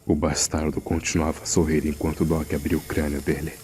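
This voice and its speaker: A man's voice: extremely low pitch, flat delivery, fairly quick, a little mellow, slight background noise. A man playing an uneasy morgue assistant, narrating a horror audio drama in a grave, suspenseful manner. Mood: tense. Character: uneasy male morgue assistant recounting a frightening secret. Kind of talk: scripted first-person horror narration.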